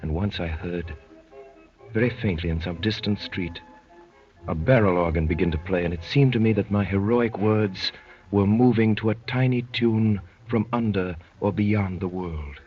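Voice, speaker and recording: A man acting a courteous, wry gentleman poet who narrates his strange adventure in measured, literary phrases, in 1930s radio drama style. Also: low-pitched, faint music behind, close to an everyday voice. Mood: grave, wondering. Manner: measured, literary, dryly polite. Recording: muffled, worn 1930s radio recording.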